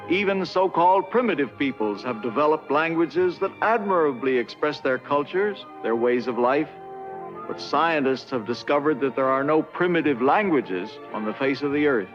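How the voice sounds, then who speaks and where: An older man playing a patient, kindly professor, lecturing deliberately and reassuringly in a 1950s educational film. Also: fairly high pitch, expressive, close to an everyday voice. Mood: earnest, lecturing. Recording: dull vintage film soundtrack, music behind.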